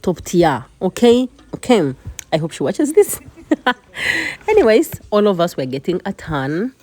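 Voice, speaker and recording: A woman narrating a casual cooking vlog in a chatty, expressive way. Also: medium pitch, measured pace. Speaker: vlogger and home cook.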